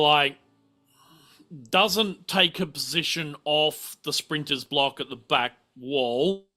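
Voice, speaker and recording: A man's voice: on the high side, pushed and bright. A man running a tabletop role-playing game as game master, improvising narration and calling for rolls. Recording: music behind the voice.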